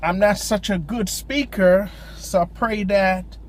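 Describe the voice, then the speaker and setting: Slow-talking preacher with a Jamaican accent. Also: mid-range voice, slight background noise. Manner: halting, with frequent pauses and restarts. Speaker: lay preacher. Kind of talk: informal devotional exhortation. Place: parked car at a park.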